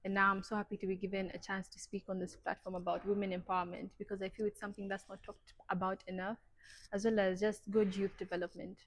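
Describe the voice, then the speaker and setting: A young woman from Zambia, a university student, answering interview questions casually. She laughs lightly and grows more animated when talking about her cause. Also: mid-range voice, quick pace. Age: young adult. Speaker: podcast guest.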